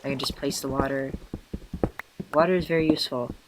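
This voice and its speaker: A man with a hoarse, tired voice, self-consciously rambling through casual gameplay commentary with many fillers and restarts. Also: medium pitch, fairly even intonation, fairly quick, background noise. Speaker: let's player.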